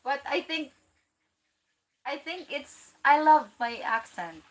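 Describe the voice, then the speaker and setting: Filipina woman chatting on a livestream, fairly high voice, excitable, laughs a lot. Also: slow pace. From Philippines. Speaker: livestream host. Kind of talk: casual livestream chat reading viewer comments.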